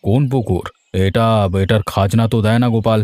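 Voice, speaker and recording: A greedy, bullying village headman from a cartoon, acted by a man. His voice is low and soft-edged, yet he talks in commands and threats, stern throughout. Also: fairly even intonation, brisk, dry recording, natural voice. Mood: musing. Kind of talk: Bengali cartoon voice acting.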